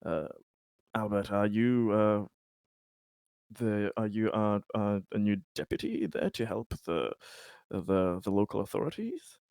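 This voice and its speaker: A man playing a polite, hesitant company man in a sci-fi tabletop game, speaking in halting, repetitive, formal phrases. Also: on the low side, expressive, gravelly, darkish tone, clear, resonant tone, no echo, studio quality. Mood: courteous, curious. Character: Conrad, polite, hesitant, optimistic company man at a space colony. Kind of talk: tabletop roleplay in character.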